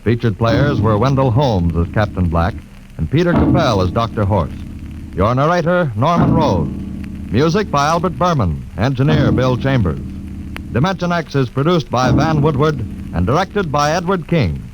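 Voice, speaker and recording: A male radio announcer reading the credits of a 1950s broadcast, measured and formal. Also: medium pitch, sing-song, low-quality recording.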